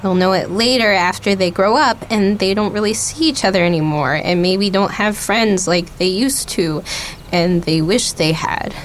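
An actress playing a skeptical thirteen-year-old girl in a radio play, terse, guarded and deadpan. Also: mid-range voice, normal speed, slight background noise, natural voice. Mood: earnest, wistful. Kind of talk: naturalistic radio play acting.

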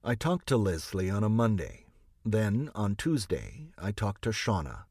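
Low, husky male audiobook narrator. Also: very animated, a little slow, a little mellow, no room sound, clean studio sound.

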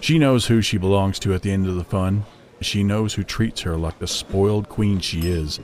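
Man playing a low, blunt, dominant animal husband. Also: normal speed, natural voice. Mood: calm, assured. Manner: flat, blunt, dry.

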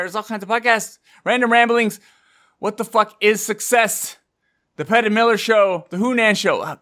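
A man's voice: mid-range voice, pushed and bright. A man hosting a podcast in casual chat, teasing and often repeating himself. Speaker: podcast host.

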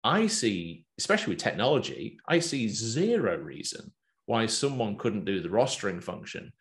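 A man with an English accent hosting a business chat, talking in a lively, loose way with plenty of fillers. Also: fairly low pitch, moderate pace. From England. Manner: animated, talkative, loose with fillers. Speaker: podcast host and business coach.

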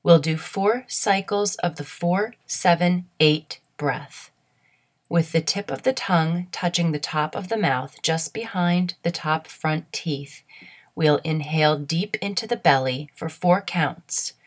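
A woman leading a guided meditation in a soothing, measured, instructional way. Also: deep voice.

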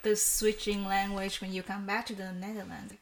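A nineteen-year-old woman from Vietnam hosting a student podcast, speaking in a steady, earnest way that is partly read from a script. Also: mid-range voice, moderate pace, slight background noise. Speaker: podcast host.

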